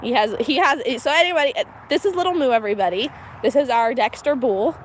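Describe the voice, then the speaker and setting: A woman's voice: high-pitched, fairly quick. Chatty American woman livestreaming outdoors. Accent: Pennsylvania, mixed American. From Pennsylvania, USA. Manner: chatty, laughs often, candid. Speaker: homestead livestreamer. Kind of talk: casual live commentary. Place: outdoors on a farm with cows. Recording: faint outdoor noise.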